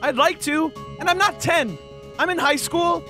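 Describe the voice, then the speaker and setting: Squeaky, anxious teenage boy. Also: normal speed, over music. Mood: whiny, protesting. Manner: hesitant, stammering, pleading. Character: anxious, whiny teenage high-school boy. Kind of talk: animated comedy dialogue.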